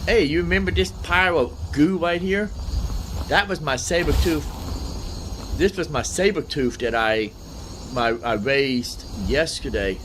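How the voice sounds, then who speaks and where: A man's voice: a little high, measured pace, a bit bright. Rambling man narrating his gameplay, noisy background. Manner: rambling, thinking aloud, repeats himself. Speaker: gaming streamer. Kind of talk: casual gameplay commentary.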